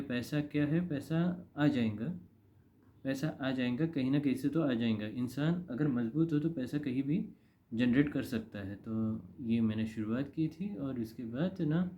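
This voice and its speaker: An Indian man in his thirties giving a casual, confessional monologue to camera, rambling and repeating phrases for emphasis. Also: mid-range voice. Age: 30s. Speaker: vlogger. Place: indoor room. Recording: dull, lo-fi.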